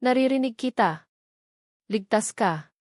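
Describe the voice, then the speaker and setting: A woman's voice: a little high, lively intonation, a little slow, no room sound, studio quality. A woman voicing a protective, resolute man, tender but stern, in a story reading. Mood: tender, reassuring. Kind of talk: dramatic storybook character reading.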